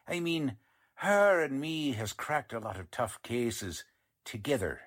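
A folksy, boastful radio-play sidekick, acted by a man, slangy and bumbling. He drawls slowly, with pitch that bounces all around for comic effect. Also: big pitch swings, a bit bright, exaggerated voice. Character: folksy, ungrammatical, boastful sidekick of a lady reporter. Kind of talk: 1940s radio drama acting.

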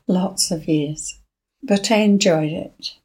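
An elderly woman, answering a granddaughter's questions in brief replies with soft laughs. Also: lowish voice, drawn-out words, slightly rough. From Lancashire, England. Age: 80s. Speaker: guest storyteller. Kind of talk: casual interview chat.

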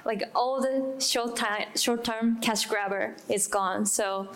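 A woman with a Japanese accent, a native Japanese speaker, speaking as a panelist in halting English while she searches for words. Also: a little high, steady tone, poor audio. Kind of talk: live panel discussion.

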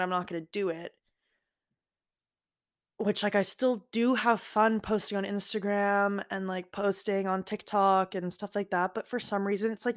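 A young woman hosting a podcast, chatting casually and rambling with lots of "like" fillers. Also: mid-range voice. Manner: rambling, heavy use of "like". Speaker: podcast host. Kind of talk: casual personal chat.

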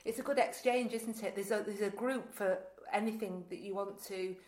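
A woman's voice: mid-range voice, normal speed, darkish tone. Woman interviewer in an echoey room. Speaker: interview host. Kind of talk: casual conversational interview. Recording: echoey, roomy sound.

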